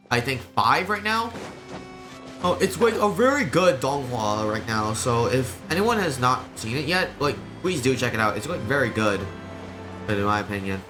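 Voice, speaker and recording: A man livestreaming a game casually and reading text aloud in a halting, stumbling way. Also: mid-range voice. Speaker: game streamer. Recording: game music behind the voice.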